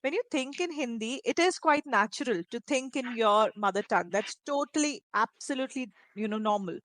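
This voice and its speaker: A woman's voice: a little high, fairly quick, fairly bright tone, no room sound. A woman who speaks Malayalam as her first language, tutoring English in a one-on-one conversation lesson and talking in long encouraging turns. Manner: encouraging, talks in long flowing turns with "you know" and "right?".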